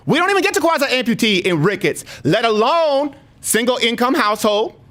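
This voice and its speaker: A man's voice: very high-pitched, very animated, moderate pace, fairly bright tone, full character voice. A man voicing an indignant, aggrieved single mom in a prank phone call, rambling and repeating with slangy emphasis. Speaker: prank caller.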